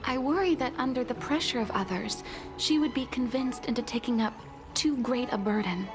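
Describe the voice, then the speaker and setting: Earnest, high-voiced maid played by a woman, music behind. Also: close to an everyday voice. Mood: pleading, worried. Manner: formal, deferential, measured. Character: earnest, dutiful maid pleading for her young mistress. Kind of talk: dramatic video game voice acting.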